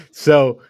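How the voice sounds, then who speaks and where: A man's voice: a little mellow, no echo. A man co-hosting a casual film discussion, speaking in a blunt, critical way. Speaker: co-host of a movie review show.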